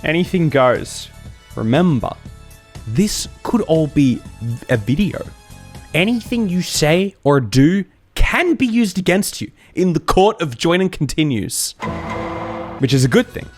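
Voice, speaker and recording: A man's voice: fairly high pitch, animated, a little slow, long pauses, soft background music. A man from Australia with an Australian accent, chatting casually as a streamer in a rambling, jokey way. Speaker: YouTuber and streamer. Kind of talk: casual livestream chat.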